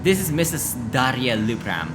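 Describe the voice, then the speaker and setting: Man acting a formal, lively-pitched police deputy. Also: normal speed, loud music behind the voice, lightly acted. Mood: official. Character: formal, persistent male small-town police deputy.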